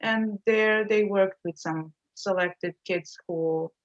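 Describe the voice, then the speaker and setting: A woman's voice: medium pitch, slow pace. Serbian lady chatting on a muffled call, kinda hesitant, lots of ums. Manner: hesitant, many fillers and restarts. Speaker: agency owner, guest. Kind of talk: casual interview talk.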